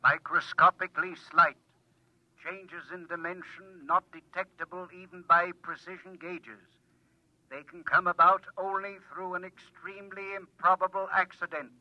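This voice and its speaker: Man acting a monotone high-pitched talking computer. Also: moderate pace, no echo, close to an everyday voice. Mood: impassive, precise. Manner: deadpan, precise, formal. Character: unemotional male-voiced computer, precise and helpful. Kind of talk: 1950s radio drama acting. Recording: dull lo-fi old radio recording.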